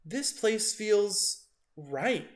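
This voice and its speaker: Young male avocado hero for a picture book, read by a man: earnest, determined, wide-eyed, fairly high and lively. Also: drawn-out words, heavily acted. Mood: wonder. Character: small, brave, wonder-filled young male avocado adventurer.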